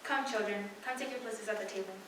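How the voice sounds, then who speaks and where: A woman's voice: mid-range voice, quick pace, fairly bright tone, lightly acted. A teenage girl playing a busy, affectionate mother in a student radio play. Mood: motherly. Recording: echoey room, distant mic, clattering noise.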